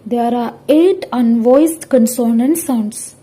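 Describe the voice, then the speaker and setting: A young woman, a student presenter, reading out a scripted lesson in a careful, halting, word-by-word manner. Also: a little high, mellow, husky. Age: young adult.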